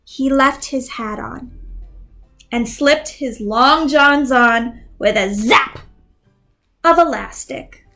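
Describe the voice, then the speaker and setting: A young woman teacher reading a picture book aloud to young learners, slow and expressive, acting out the sound effects, then asking simple questions. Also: high-pitched, faint music behind.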